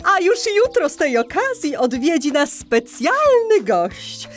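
A woman's voice: high-pitched, very animated, measured pace, loud music behind the voice. A woman voicing a cheerful, encouraging animal schoolteacher who talks to small children in a sing-song way. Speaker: teacher. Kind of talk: children's audio play acting.

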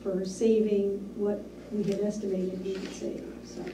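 A woman's voice: mid-range voice, little pitch movement. Businesslike woman in an echoey meeting room. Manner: measured, businesslike, with frequent ums. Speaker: town administrator. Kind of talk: reporting at a board meeting. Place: small municipal meeting room. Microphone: far room camera. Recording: echoey room, distant mic, hiss.

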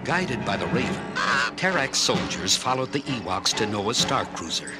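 Animated male storybook narrator. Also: lowish voice, normal speed, over loud music. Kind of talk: scripted children's storybook narration. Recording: orchestral film score under narration.

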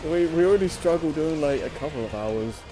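A man co-hosting a show, chatting casually and unscripted in a hesitant, halting way. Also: a little high, animated, music in the background, rough recording. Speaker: co-host of a story-reading show. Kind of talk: casual unscripted chat over gameplay.

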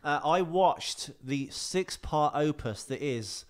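A man's voice: fairly high pitch, lively intonation, slow. A man, chatting casually as a comedian co-hosting a podcast. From England. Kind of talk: casual bantering podcast chat. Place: small indoor garage-style podcast studio. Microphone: close microphone on boom arm.

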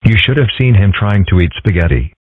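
Man playing a low, wry cartoon fox kid. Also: expressive, brisk, dry recording. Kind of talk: scripted comic dub dialogue. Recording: muffled phone-like lo-fi audio.

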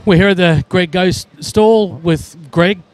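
A man's voice: sits high, takes it slowly. A man in his thirties with an Australian accent, interviewing exhibitors at an indoor trade show on a handheld mic in a casual, friendly way. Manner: friendly, chatty, Aussie slang like mate. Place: indoor expo hall, busy.

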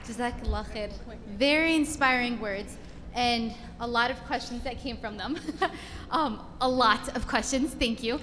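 A woman's voice: on the high side, a little slow. A young woman acting as session moderator, speaking informally at a podium microphone. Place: large convention meeting room. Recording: echoey hall, faint room noise.

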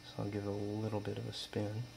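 Low-pitched young man, flat delivery. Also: fast, creaky. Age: young adult. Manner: matter-of-fact, explanatory. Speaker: student presenter. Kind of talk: informal demonstration narration. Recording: steady mains hum, background noise.

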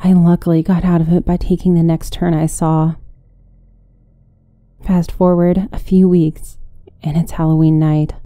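A woman tells a scripted horror story in the first person. Her voice is very dark and mellow and sits a little low, recorded dry with no room sound. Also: flat delivery, unhurried, pauses often, no room sound. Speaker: guest story narrator.

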